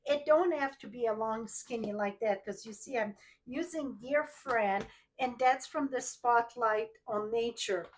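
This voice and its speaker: A woman's voice: on the high side, unhurried, poor audio. A woman with a French Canadian accent, whose first language is French, chatting her way through a live card-making tutorial and asking viewers questions as she works. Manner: chatty, thinks aloud, asks viewers directly.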